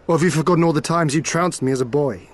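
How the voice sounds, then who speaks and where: A young man acting as a noble exiled warrior lord in a video game, earnest and teasing, with formal, measured, archaic phrasing. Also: fairly high pitch, close to an everyday voice. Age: young adult.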